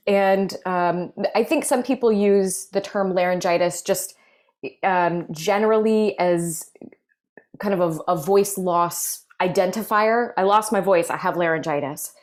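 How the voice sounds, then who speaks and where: A woman voice clinician giving measured, explanatory interview answers with frequent fillers. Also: on the low side, darkish tone. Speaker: voice clinical specialist.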